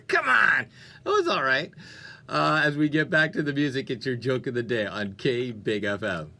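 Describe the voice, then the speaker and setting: A man's voice: medium pitch, sing-song, unhurried, long pauses, bright, forceful tone. A man hosting a music radio show with upbeat DJ patter, playful and chatty, often interrupting himself.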